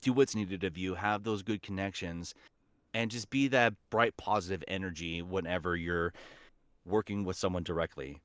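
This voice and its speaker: A man's voice: low-pitched, normal speed, fairly bright tone, dry recording, clean studio sound. A man talking as a guest voice actor in a casual podcast chat, with an enthusiastic, informal way of speaking.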